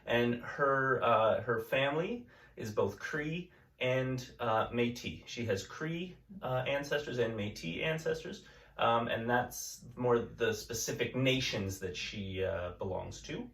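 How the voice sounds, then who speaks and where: Soft-spoken teacher reading to kids. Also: male voice, medium pitch, takes it slowly. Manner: gentle, chatty, explains things to children. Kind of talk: reading a picture book aloud to a class. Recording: echoey room, mic at a distance.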